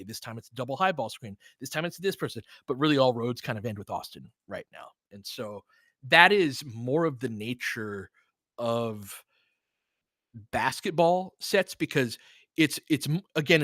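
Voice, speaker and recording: A man with a bright, forceful voice and a middling pitch hosts audio play-by-play of basketball. He calls the action in short, clipped phrases as an openly partisan fan and explains tactics conversationally. The sound is dry and close, with little room on it. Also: no echo. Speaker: host and play-by-play commentator. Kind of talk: live audio basketball commentary with casual tactical analysis.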